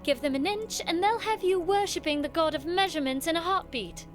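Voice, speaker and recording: A young highborn woman studying magic in an audio drama. Her voice is very high and her manner is proud, witty and formal. Music plays behind her. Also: fairly quick. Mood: scornful. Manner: wry, haughty, formal speech.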